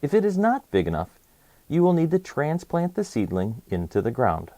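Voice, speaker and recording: A man's voice: on the high side, huge pitch swings, normal speed, warm tone, no room sound. Man reading to kids with swooping intonation. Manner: clear, simple phrasing addressed to children. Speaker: children's read-aloud host. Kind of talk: educational picture book read-aloud for kids.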